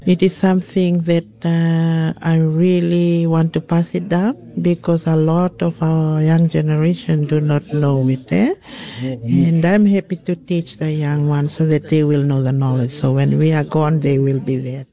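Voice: mid-range voice, little pitch movement, a little slow, warm tone. A weaving teacher with a Fijian accent talking plainly in an interview. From Fiji.

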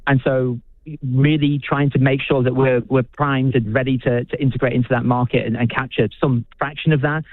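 A man's voice: mid-range voice, level tone, no echo. British man on a tinny phone line. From United Kingdom. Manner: enthusiastic, halting, many restarts and fillers. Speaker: physicist and researcher. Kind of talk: radio interview guest.

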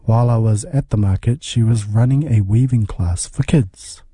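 A man narrating a radio feature report in a measured, scripted way. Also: lowish voice, warm tone, slightly rough, no echo, clean studio sound.

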